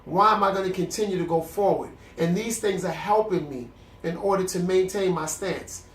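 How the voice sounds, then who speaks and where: Young male host, congested, earnest and preachy, higher voice, roomy echo. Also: moderate pace. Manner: earnest, preacherly, with frequent restarts. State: congested, with a cough. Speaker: host of a celibacy video series. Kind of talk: candid faith talk to camera. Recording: echoey room.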